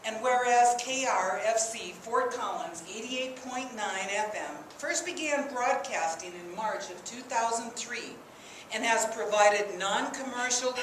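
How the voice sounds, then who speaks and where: A woman speaking as a mayor, reading a formal proclamation in a measured, ceremonial way. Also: mid-range voice, a bit bright. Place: indoor council chambers. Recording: echoey council chamber, faint background noise.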